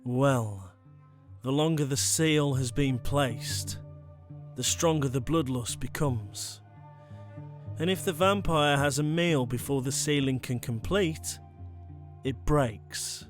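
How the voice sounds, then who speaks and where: Slow-talking, calmly menacing ex-vampire hunter, acted by a man. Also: medium pitch, lightly acted. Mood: calm explaining. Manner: unhurried, coolly conversational, teasing. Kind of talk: ASMR audio roleplay drama. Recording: music bed behind the voice.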